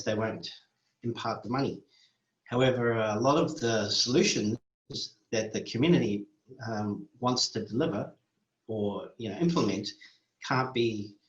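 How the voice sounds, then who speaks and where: A man from New Zealand speaking as a community worker, measured and thoughtful, often restarting his sentences. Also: fairly low pitch, reverberant, muffled recording. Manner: measured, with frequent restarts and fillers. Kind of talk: interview monologue.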